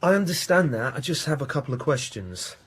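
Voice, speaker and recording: Earnest, husky male research scientist. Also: mid-range voice, big pitch swings, brisk. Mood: insistent. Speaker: actor playing a researcher. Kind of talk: naturalistic radio drama acting.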